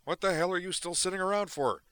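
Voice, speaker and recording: A brash, agitated man barks and curses through every line, loud and sharp-edged, as a male reader puts him on. The voice sits far higher than the reader's own deep tone. Pure restless storybook mania. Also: lively intonation, brisk, clean tone, no room sound. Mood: exasperated. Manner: shouting, cursing, agitated. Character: brash frantic man, gonzo doctor. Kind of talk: audiobook character voice.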